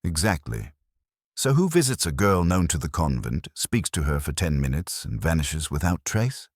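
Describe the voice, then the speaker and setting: A methodical English detective played by a male narrator, with a very deep, somewhat raspy voice. He is courteous and probing, setting out his thoughts deliberately with expressive intonation in a mystery audiobook. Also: no room sound, clean studio sound, heavily acted. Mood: deliberate, thoughtful.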